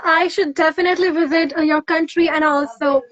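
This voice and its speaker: A woman's voice: extremely high pitch, fairly even intonation, a little fast, a bit bright, no room sound. A woman from West Bengal with a Bengali Indian accent, hosting a casual interview in a gushing, repetitive way. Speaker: interview host. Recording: dull lo-fi, dry.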